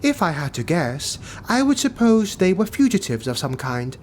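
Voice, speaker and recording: A man's voice: high voice, sing-song, normal speed, slight background noise, pitched above the performer's own voice. A man voicing a haughty, easily offended knight who serves a lord in a fantasy audiobook, arch and indignant while still deferential. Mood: explaining.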